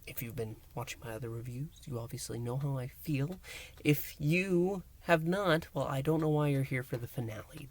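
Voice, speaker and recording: A man hosting a review and recap, speaking in an emphatic, theatrical way with dramatic pauses. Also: medium pitch, dry recording. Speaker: host, reviewer. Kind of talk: enthusiastic scripted-style recap and review to listeners.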